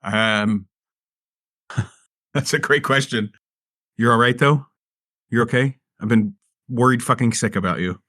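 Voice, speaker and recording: A man's voice: mid-range voice, a little slow, long pauses, bright, forceful tone, no room sound, studio quality. A man playing a tabletop roleplaying game in a casual, earnest, improvised way with salty language.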